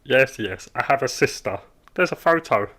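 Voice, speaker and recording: An actor playing a long-suffering, irritable office worker in an animated comedy, terse and strained in his politeness. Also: mid-range voice, normal speed, close to an everyday voice. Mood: forced politeness. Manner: terse, forced politeness, exasperated. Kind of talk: comic animated dialogue.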